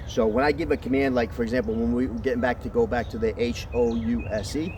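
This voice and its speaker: A man with a deep voice explaining how to train his dog, in a casual, conversational way that keeps checking in with "okay?". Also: fairly even intonation, fast, a little mellow. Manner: conversational, often checks in with "okay?", jumps to a high praise voice. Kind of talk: casual outdoor training talk. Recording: distant mic with outdoor street noise.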